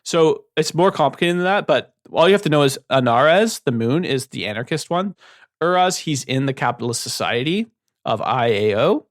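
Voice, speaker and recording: A man's voice: medium pitch, expressive, moderate pace, no room sound. Podcast co-host, male, mid-range voice, dry sound, walks through a novel's plot. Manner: explains the plot at length, with fillers. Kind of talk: casual book discussion.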